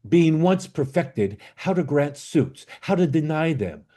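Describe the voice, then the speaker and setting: A man playing a bitter, commanding exiled duke and father in an amateur Shakespeare reading, with a declamatory, halting delivery. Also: fairly high pitch, expressive, warm tone. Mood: stern, recounting. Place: online video call.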